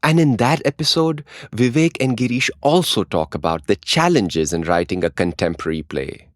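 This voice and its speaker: A man's voice: mid-range voice, expressive, moderate pace, dry recording, clean studio sound. A man co-hosting a podcast with scripted narration. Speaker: podcast co-host.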